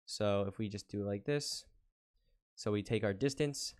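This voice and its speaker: A man working through a math word problem step by step on a whiteboard, explaining calmly with frequent "uh" fillers. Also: fairly low pitch, moderate pace, smooth. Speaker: math tutor. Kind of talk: whiteboard lesson walkthrough.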